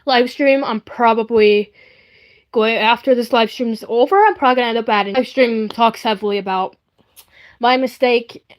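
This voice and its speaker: A woman's voice: a little high, steady tone, normal speed. A young woman chatting casually on a live stream in a rambling, hesitant way, often repeating herself.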